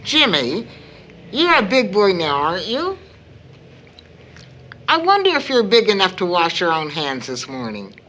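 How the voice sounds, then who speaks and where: Firm loving mom of grown kids in a radio play, formal, lots of pitch movement. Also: female voice, very animated, a little slow, long pauses, a bit bright. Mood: kindly. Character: dignified, firm, loving mother of grown children. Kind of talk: audio drama acting.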